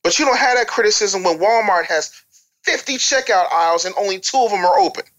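A man's voice: sits high, a little fast, fairly bright tone, low-quality recording. An American man talking as an opinionated guest on a casual talk show, emphatic and repeating phrases for effect like a storyteller. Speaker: interview guest.

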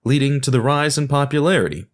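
Lively male podcast host, scripted narration. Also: medium pitch, quick pace.

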